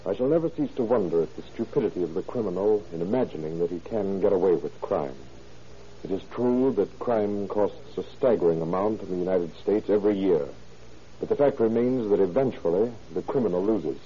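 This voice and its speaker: A man's voice: low voice, expressive, brisk, dark tone, slight background noise. A man giving a stiff, sententious scripted address as a police chief guesting on old radio. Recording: muffled old 1930s radio recording.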